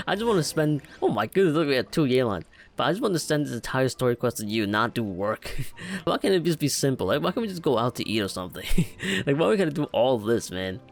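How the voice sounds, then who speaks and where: A man's voice: mid-range voice, very animated, quick pace, vocal fry. A young man giving casual, informal, rambling commentary as he reacts to a video game. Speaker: gaming video host.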